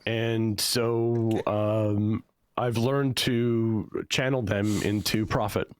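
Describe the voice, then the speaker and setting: A man guest on an interview show, hoarse from losing his voice, telling dry, deadpan jokes with lots of ums. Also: on the low side, extremely slow, dry recording. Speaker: guest, comedian and author. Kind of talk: casual interview chat. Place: home setup, standing.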